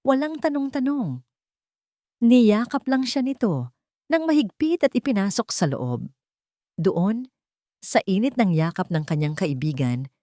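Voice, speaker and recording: Low-voiced woman narrating a dramatic love story. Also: a little mellow, no echo, clean studio sound.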